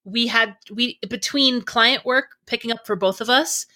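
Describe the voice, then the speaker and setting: A woman's voice: fairly high pitch, a little slow, bright, forceful tone. A woman co-hosting a podcast, talking candidly and laughing at herself in casual chat. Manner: candid, giggly, self-deprecating. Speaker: podcast co-host.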